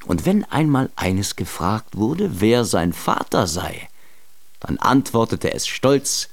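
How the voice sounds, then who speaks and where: A man's voice: fairly low pitch, big pitch swings, slow pace, vocal fry, dry recording. A man narrating a children's fairy tale in a radio play, in a storytelling style.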